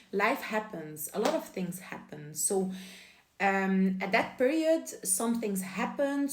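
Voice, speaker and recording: A woman's voice: medium pitch, roomy sound. A young woman from Belgium whose first language is Dutch talks in English in a casual, giggly, apologetic chat to camera. She records on her phone. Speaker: vlogger.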